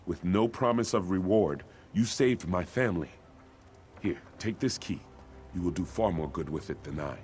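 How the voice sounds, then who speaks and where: Man playing a low-voiced, earnest grateful father. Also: normal speed, darkish tone, a little hiss or hum, lightly acted. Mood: relieved, grateful. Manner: earnest, solemn. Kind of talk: video game character acting.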